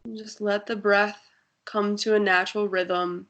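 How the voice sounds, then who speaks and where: A woman guiding a breathing meditation in a soft, soothing voice with long pauses. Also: medium pitch, little pitch movement, dull audio. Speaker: meditation guide.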